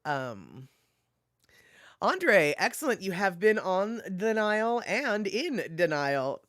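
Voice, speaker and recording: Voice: medium pitch, sing-song, slow, a bit bright, dry recording, studio quality. A livestream host chatting casually and reading viewer comments aloud, laughing often and musing while scrolling. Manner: frequent fillers like "let's see here".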